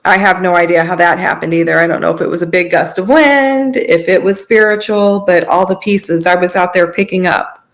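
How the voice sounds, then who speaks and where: A woman telling her personal story as a podcast guest, in a conversational, earnest and halting way. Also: on the low side.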